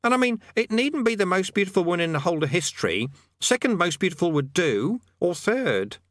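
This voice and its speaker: A man voicing a scrawny, excitable male demonologist who commands in stammering, pompous archaic words. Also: high voice, moderate pace, no echo, studio quality, pitched above the performer's own voice, exaggerated voice. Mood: excited, pompous. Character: scrawny excitable middle-aged male demonologist, mad and pleading. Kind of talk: audiobook character voice.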